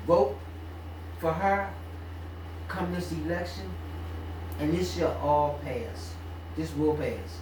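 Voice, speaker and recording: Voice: medium pitch, takes it slowly, pauses often, roomy sound, distant mic, background noise. A radio talk show co-host speaking emphatically, with an exhorting, preacher-like cadence. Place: radio studio.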